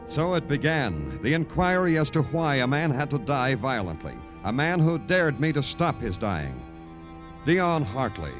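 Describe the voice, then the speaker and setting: Actor as wry hard-boiled detective, swooping delivery. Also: male voice, medium pitch, natural voice. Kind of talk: 1940s-50s radio noir acting and narration. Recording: vintage radio drama with music.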